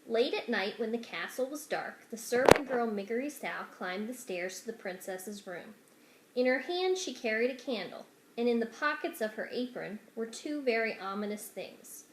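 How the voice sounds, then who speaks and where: A woman with a fairly high voice narrates a children's story expressively. The room adds a clear echo to her reading. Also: animated, moderate pace, slight background noise. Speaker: story reader. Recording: roomy indoor echo.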